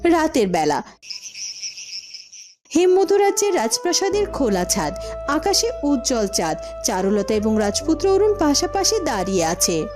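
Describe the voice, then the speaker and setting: A woman's voice: sits high, sing-song, normal speed, over music. A woman giving scripted storybook narration of scenes in a fairy-tale cartoon.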